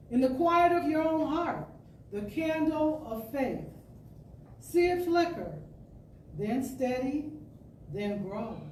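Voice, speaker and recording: An echoey, slightly distant recording of a woman giving a spiritual reading. Her voice sits fairly high and she takes her time, shaping each phrase with emphasis and dramatic pauses in the manner of a storyteller. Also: darkish tone. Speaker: storyteller, inspirational speaker. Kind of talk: spiritual talk read aloud as dramatic storytelling. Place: indoor room at a spiritual center. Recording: echoey room, distant mic, faint noise.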